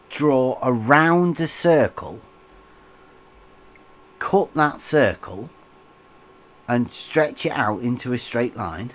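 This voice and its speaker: A man's voice: medium pitch, fairly bright tone. A man co-hosting a livestream, chatting casually and chuckling as he reads out viewer comments. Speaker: livestream co-host.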